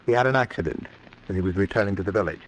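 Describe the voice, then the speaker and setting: A man's voice: low voice, rapid-fire, lightly acted. A man playing a scheming, menacing nephew with false politeness in an old-time radio horror drama. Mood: falsely polite. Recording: noisy old radio recording.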